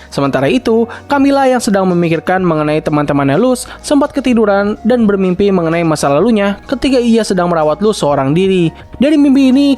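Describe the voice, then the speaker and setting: A man's voice: high voice, a little mellow. A young man with an Indonesian accent narrating a scripted cartoon recap, with bits of casual slang. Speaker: video host and narrator.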